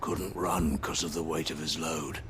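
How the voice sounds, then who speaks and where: An actor playing a gruff, callous townsman in a fantasy game. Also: very deep voice, fairly even intonation, normal speed, a bit bright, slight background noise. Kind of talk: game background dialogue.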